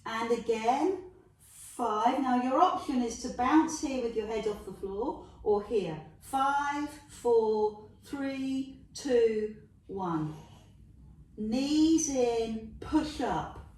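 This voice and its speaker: A woman's voice: a little high, lively intonation, drawn-out words, darkish tone. A middle-aged woman with a London accent leading a Pilates workout, counting reps aloud, cheering the viewer on and making jokey asides. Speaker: Pilates instructor. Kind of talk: guided workout instruction. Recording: echoey room, voice from a distance.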